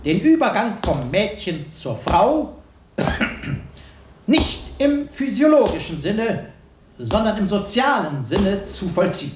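Pompous schoolteacher, swooping in pitch. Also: male voice, very animated, slow, background noise, exaggerated voice. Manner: pompous, oratorical. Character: pompous, moralizing male village schoolteacher lecturing girls. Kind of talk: German radio drama acting. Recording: echoey room.

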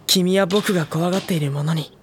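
A woman playing a sensitive, earnest teenage schoolboy in an audio drama, soft-spoken, sincere and introspective. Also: fairly low pitch, brisk, a little hiss or hum, close to an everyday voice. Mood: resolved. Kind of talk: Japanese audio drama acting and narration. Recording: music behind the voice.

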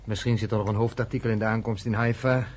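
A man's voice: on the low side, fairly even intonation, fast, slight background noise, lightly acted. An actor playing a wry, casual man chatting with a friend in a radio drama. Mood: wry, offhand.

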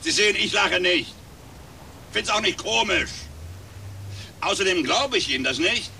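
A very sharp, high-pitched, creaky voice as an officious, distrustful man. He is stern and humorless, in radio drama dialogue. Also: expressive, unhurried, a little hiss or hum, exaggerated voice. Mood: suspicious, stern. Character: suspicious, officious man confronting a snooping stranger.

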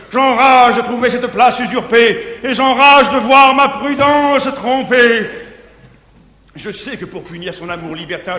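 An actor playing a jealous, tormented middle-aged guardian, declaiming classical French verse comedy in a grandiose, anguished manner on stage. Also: male voice, high voice, pushed and bright. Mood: furious, anguished. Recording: 1950s theatre recording, echoey hall, faint hiss.

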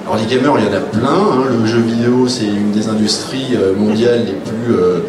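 A man's voice: on the low side, fairly quick. A man speaking informally as an author on a panel, telling anecdotes in a blunt, wry way and acting out quoted remarks. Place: public round table in a room. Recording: roomy, distant live recording with clear noise.

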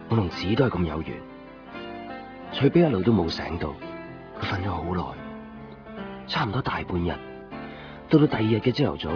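An actor playing a brooding, gentle young man who narrates his lost love introspectively in a Cantonese radio drama. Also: low voice. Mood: tender, melancholy. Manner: wistful, introspective. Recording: dull 1990s radio recording, music behind. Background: music with guitar.

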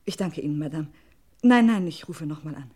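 Husky, anxious wife. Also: female voice, sits low, lively intonation, a little fast. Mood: agitated, urgent. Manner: agitated, swings between hushed brooding and urgent calls. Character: anxious, jealous wife telephoning in desperate worry. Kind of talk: German radio crime drama acting.

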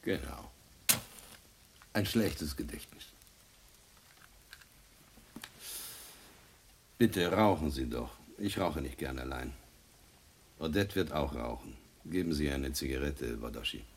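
An actor playing a menacing, cynical man in a radio play, husky, insinuating and condescending as he probes. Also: a bass voice, lively intonation, measured pace, full character voice. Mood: mocking, insinuating.

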